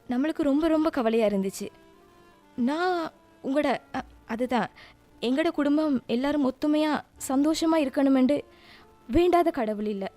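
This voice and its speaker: A woman playing a gentle young daughter-in-law. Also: sits high, lightly acted. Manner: soft, earnest, hesitant speech. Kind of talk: radio drama acting.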